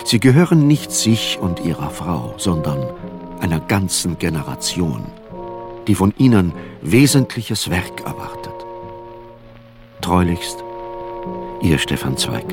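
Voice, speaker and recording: An actor playing an earnest, refined literary friend, reading a solicitous letter in a halting, measured way in a radio play. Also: male voice, deep voice, big pitch swings, music in the background, full character voice. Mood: concerned, earnest.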